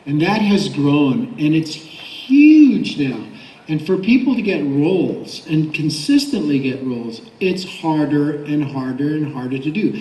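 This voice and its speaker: Middle-aged male panelist, lightly high voice, loose anecdotal delivery, echoey room sound. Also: sing-song, measured pace, warm tone. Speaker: voice director and actor, panelist. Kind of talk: casual convention panel talk. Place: large indoor convention panel room. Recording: roomy convention hall, distant mic.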